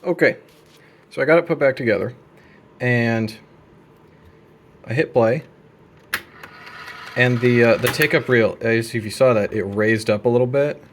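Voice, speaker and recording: A young man with a mid-range voice talks through hands-on work in a casual vlog style. His delivery is deadpan and slangy, with a wry edge. There is faint noise behind him from a handheld recording indoors. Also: medium pitch, unhurried, pauses often. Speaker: video creator. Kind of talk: casual hands-on repair vlog, narrating as he works. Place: indoor room at a desk.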